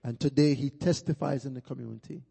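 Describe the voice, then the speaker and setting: A man's voice: medium pitch, fairly even intonation, quick pace, creaky, slightly rough. A man, a pastor, giving an emphatic testimony to a church congregation. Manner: emphatic, builds to loud peaks. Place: church sanctuary. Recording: long reverb of a church hall.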